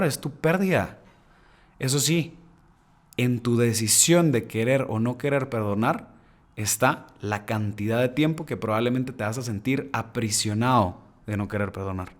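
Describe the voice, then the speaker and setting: Podcast guy talking straight to his listeners, slangy and earnest, goes quiet then punchy, mid-pitched voice. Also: measured pace. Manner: loudness swings between soft and emphatic. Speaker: podcast host. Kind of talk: casual reflective monologue.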